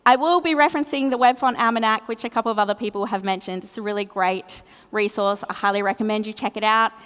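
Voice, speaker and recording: A woman's voice: medium pitch, fast, a bit bright, clean tone, long reverb tail. A woman with an Australian accent giving a conference talk, chatty and self-deprecating, with plenty of fillers. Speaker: conference speaker. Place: large conference hall.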